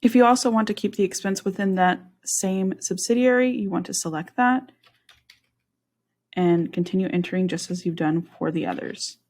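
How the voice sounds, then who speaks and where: A woman presenting a software walkthrough at a medium pitch. She explains things step by step in an instructional manner, as in a screen-share tutorial. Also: fairly even intonation, moderate pace. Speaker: software demonstrator.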